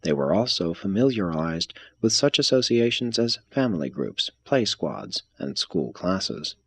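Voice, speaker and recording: Low, slightly husky man reading formally. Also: big pitch swings, no room sound. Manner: measured, formal delivery. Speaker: reader. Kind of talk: scripted audiobook-style reading of a religious text.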